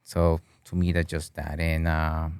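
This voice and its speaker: A deep-voiced man in his thirties from Peru talks as a guest on a podcast. His voice is smooth and clean, and the sound is close and dry. He rambles in a relaxed way and keeps checking in with "you know?" and "right?", now and then slipping into Spanish. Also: unhurried, dry recording. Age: 30s. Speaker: guest, bartending business owner. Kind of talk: casual interview chat. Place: podcast studio with flags on the wall.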